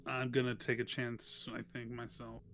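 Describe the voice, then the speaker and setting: A man playing a tabletop roleplaying game, chatting casually in a hesitant, halting way. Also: mid-range voice, level tone, fairly bright tone, a little hiss or hum.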